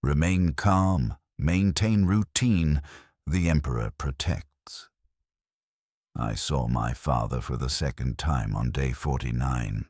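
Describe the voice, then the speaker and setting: A man narrating a dramatic first-person story in a grave, measured manner, with short deliberate phrases. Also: very deep voice, darkish tone, no room sound, clean studio sound.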